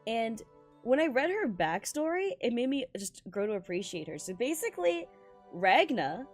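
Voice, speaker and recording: A woman streaming a game with casual, excited commentary, slangy and sweary in an expressive way. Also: fairly high pitch, normal speed, soft background music.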